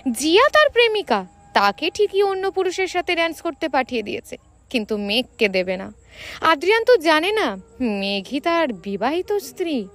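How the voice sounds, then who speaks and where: A woman's voice: sits high, big pitch swings, moderate pace, a bit bright. A woman narrating a scripted romantic story in a dramatic storytelling style.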